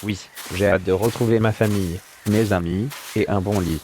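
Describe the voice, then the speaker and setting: A man's voice: fairly low pitch, steady tone, moderate pace, warm tone, a little hiss or hum, natural voice. A man playing a friendly, weary captive about to go home, in an audio drama with an easygoing manner.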